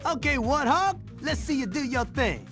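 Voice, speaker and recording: Sassy zebra hero, very high male voice, swooping animated delivery, impatient sarcasm, game cartoon, loud music behind. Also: normal speed. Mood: confrontational. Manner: sassy, streetwise, exasperated. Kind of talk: animated video game voice acting.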